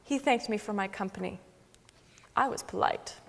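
A young woman giving a deliberate, expressive dramatic reading of a memoir on a concert hall stage. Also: mid-range voice, gravelly, slight background noise. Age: young adult. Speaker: dramatic reader. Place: large wood-panelled concert hall stage, seated.

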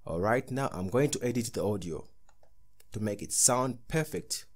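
A man's voice: lowish voice, expressive, moderate pace. A man narrating a step-by-step tutorial as its host.

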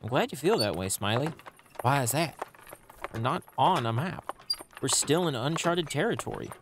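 A man's voice: medium pitch, lively intonation, normal speed, background noise, lightly acted. A man voicing a sensible, exasperated little cartoon creature in a comic dub, earnest and admonishing. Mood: concerned. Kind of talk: comic book dub acting.

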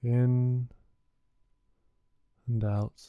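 A man leading a guided meditation, giving soothing, unhurried instructions with gentle pauses. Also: medium pitch, flat delivery, clear, resonant tone, no echo, phone-quality sound. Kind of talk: guided body scan meditation.

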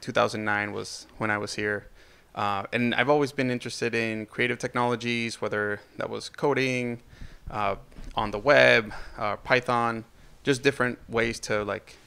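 A man from Venezuela speaks in a low, flat, gravelly voice with a bright, clear edge. He lays out his background steadily in a living-room interview, dotting his speech with "uh". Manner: steady, with frequent "uh" fillers. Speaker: guest artist. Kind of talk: casual interview.

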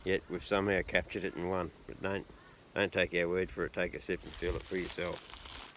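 Middle-aged man, very deep, laconic, outdoors with faint noise. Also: level tone, a little fast, a bit bright. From Australia. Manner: laconic, short replies, reads ad copy. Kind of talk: casual beer chat. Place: outdoors in dry bushland.

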